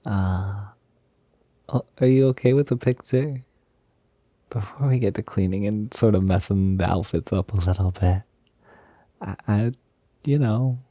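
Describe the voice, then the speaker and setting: Man playing a mellow, breathy doting roommate. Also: fairly low pitch, unhurried, pauses often, no echo. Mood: reassuring, coaxing. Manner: gentle, hesitant, giggly, softly doting. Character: affectionate, smitten young male roommate, playful and reassuring. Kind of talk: intimate roleplay audio, casual improvised-sounding chat.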